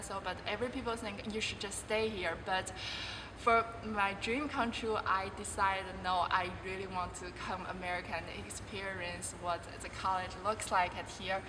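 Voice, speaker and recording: A woman in her twenties whose first language is Chinese answers questions in halting English, often stopping and restarting her sentences. She sits well back from the microphone. Her voice rings with long reverb, and steady background noise is clearly heard. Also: medium pitch, recorded from a distance. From China. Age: 20s. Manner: halting non-native English with many restarts. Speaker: college volleyball player. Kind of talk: interview answers.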